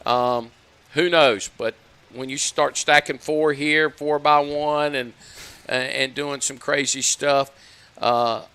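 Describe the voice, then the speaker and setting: A man's voice: mid-range voice, fairly even intonation, takes it slowly, pushed and bright, clean tone. A man, a football coach, talking through his game plan in a conversational way with lots of fillers. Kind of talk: explaining game strategy.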